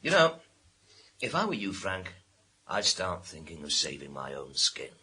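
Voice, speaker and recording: Sardonic, bullying police inspector, swooping delivery. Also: male voice, deep voice, wildly swooping pitch, a little slow, pushed and bright, husky, heavily acted. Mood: menacing. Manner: sarcastic, hectoring, theatrical. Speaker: police detective. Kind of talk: radio crime drama acting.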